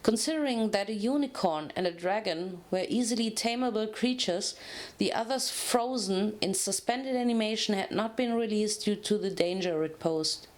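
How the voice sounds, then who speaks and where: A woman's voice: medium pitch, moderate pace. Woman reading a story aloud dramatically. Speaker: fan fiction reader.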